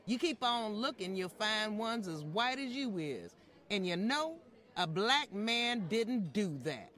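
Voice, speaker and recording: A woman performs an outspoken, unapologetic housemaid in an old-time radio drama. The delivery is very slow and folksy, with pauses for effect. The pitch swings widely as the plainspoken storyteller makes each point. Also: very animated, fairly bright tone. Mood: pointed, insistent.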